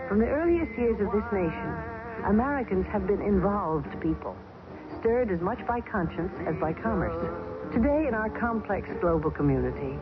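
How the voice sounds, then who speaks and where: A woman's voice: medium pitch, sing-song, normal speed. A woman delivers an earnest, dignified charity appeal. Her voice sounds thin and tinny, like an old radio spot, with music playing beneath it. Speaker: public service announcer. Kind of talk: scripted announcement.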